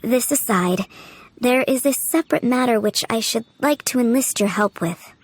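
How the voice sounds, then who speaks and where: A woman voicing a courteous, composed head housemaid in a video game, with polite and measured formal speech. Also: on the high side, slight background noise, natural voice. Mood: gracious. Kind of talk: video game character acting.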